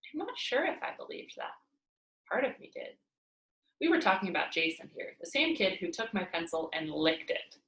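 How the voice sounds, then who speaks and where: Female read-aloud narrator for children's fiction; very lively intonation, roomy home sound. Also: fairly low pitch, creaky. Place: indoors at home by a fireplace.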